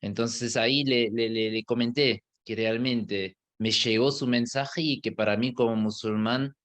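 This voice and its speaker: Thoughtful imam with a foreign accent. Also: male voice, medium pitch, steady tone, a bit bright. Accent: foreign, partly Argentine Spanish. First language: not Spanish. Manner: measured, thoughtful, many hesitation sounds and restarts. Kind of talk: recounting a personal experience in an interview.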